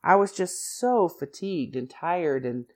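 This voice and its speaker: A woman hosting her own podcast, chatting casually and rambling with asides, her voice a little raspy and congested from a recent flu. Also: lowish voice, lively intonation, unhurried, warm tone. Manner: chatty and rambling, many "like" and "you know" asides. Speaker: podcast host.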